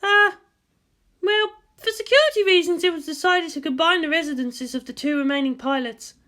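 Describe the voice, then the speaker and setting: A woman's voice: very high voice, moderate pace, sharp tone, voice pushed up. A woman voicing an exasperated but protective military guardian, emphatic and wearily authoritative, in a story reading. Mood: weary, explaining.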